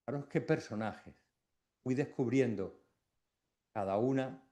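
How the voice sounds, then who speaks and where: A middle-aged man from Extremadura giving a formal academic induction speech, reflective, with pauses between phrases. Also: mid-range voice, warm tone. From Extremadura, Spain.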